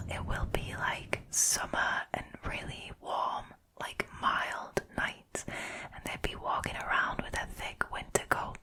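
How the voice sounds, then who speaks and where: Slow whispering woman. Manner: soft unvoiced whisper throughout, unhurried. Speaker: host of a scary story channel. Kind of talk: whispered ASMR storytelling.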